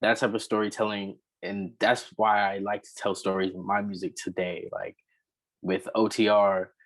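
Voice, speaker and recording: Low monotone guy chatting about his music, laid back, lots of likes and ums. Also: normal speed. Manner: relaxed, rambling, many fillers. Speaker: rapper and singer, interview guest. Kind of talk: casual interview chat.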